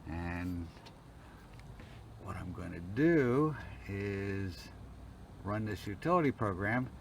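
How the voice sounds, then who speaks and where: A man's voice: on the low side, animated, takes it slowly, vocal fry. A man giving an informal technical demo as a hobbyist, narrating step by step in a plain-spoken way. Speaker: hobbyist demonstrating a homemade telescope automation setup. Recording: noticeable background noise, homemade video.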